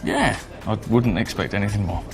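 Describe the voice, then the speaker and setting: A man's voice: on the low side, normal speed, creaky. A man with a British accent playing an easygoing, attentive date in natural, casual dialogue. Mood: flirty. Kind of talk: naturalistic improvised dating-scene dialogue. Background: background music.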